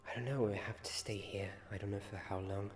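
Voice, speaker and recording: A man playing a nervous student at a wizard school in an ASMR roleplay, speaking in a hushed, uncertain way. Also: lowish voice, fairly even intonation, brisk, faint music behind, close to an everyday voice. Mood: uneasy, hushed.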